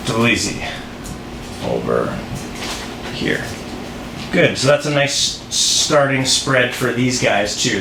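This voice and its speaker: A man giving a casual instructional walkthrough as a game designer, explaining step by step and often pausing mid-sentence. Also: mid-range voice. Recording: roomy echo, faint background noise.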